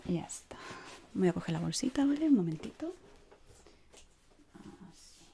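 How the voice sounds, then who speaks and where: A woman in her thirties with an accent from Spain, whispering softly and soothingly in an ASMR shop assistant roleplay, close to the mic. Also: medium pitch, sing-song, normal speed, vocal fry, very noisy. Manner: soft, soothing whispering and murmuring. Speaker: ASMR creator playing a shoe shop assistant. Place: small indoor room.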